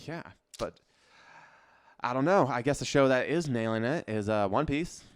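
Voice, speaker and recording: A man's voice: medium pitch, expressive, normal speed, clear, resonant tone, dry recording. A man co-hosting a podcast, chatting casually about pop culture in an informal, slangy way with loose phrasing. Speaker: podcast co-host.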